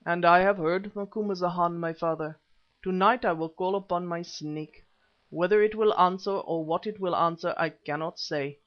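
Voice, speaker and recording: A man's voice: sits high, steady tone, normal speed, close to an everyday voice. A man voicing a proud, aging warrior-diviner with a grave, formal, oratorical manner in an audiobook adventure story. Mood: solemn. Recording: slightly dull, lo-fi audio.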